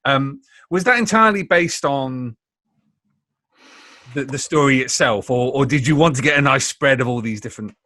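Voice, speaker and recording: A man interviews in a medium-pitched voice with almost no room echo. He is conversational and hesitant, often repeating words as he builds up a question. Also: normal speed, bright, forceful tone, clean studio sound. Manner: hesitant, stammering repetitions. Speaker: podcast host, interviewer.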